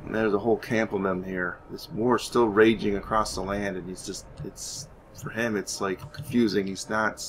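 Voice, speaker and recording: A man's voice: on the low side, fairly even intonation, moderate pace, few pauses, slight background noise, poor audio. A man giving casual, rambling game commentary, thinking aloud hesitantly as he plays. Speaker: gaming YouTuber narrating his playthrough.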